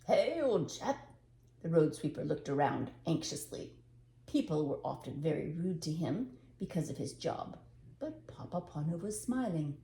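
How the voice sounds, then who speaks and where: Older lady reading a storybook out loud, warm mellow voice, bit low, echoey room. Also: normal speed, creaky, slight background noise. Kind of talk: expressive storybook narration.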